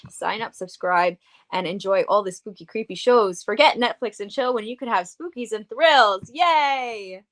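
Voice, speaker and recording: A woman's voice: mid-range voice, moderate pace, a bit bright. A woman with a Long Island accent chatting casually as a show guest, in a playful, self-deprecating way. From Long Island, New York. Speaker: podcast guest, model and horror hostess. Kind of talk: casual chat.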